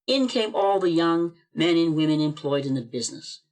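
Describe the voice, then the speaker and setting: A man narrating an amateur radio play with expressive storytelling, read over a video call. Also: on the high side, moderate pace.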